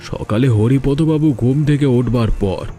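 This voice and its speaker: A man's voice: medium pitch, measured pace, dark tone, soft background music, close to an everyday voice. A man voicing an honest middle-aged father in a cartoon, earnest and fatherly. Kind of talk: Bengali cartoon dubbing.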